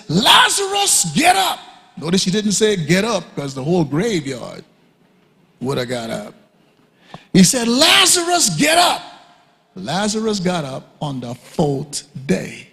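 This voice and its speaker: A man teaching a sermon at a conference, emphatic and repetitive, often leaping high to act out quoted speakers. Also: creaky, a bit bright. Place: church hall. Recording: reverberant hall.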